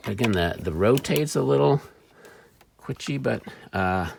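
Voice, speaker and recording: A man giving a casual, off-the-cuff gadget demo, wry and excitable, talking to himself as he tinkers. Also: mid-range voice, a little slow, vocal fry, a little hiss or hum. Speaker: vintage electronics seller demonstrating a device. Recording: music playing behind the voice. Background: music from the player being tested.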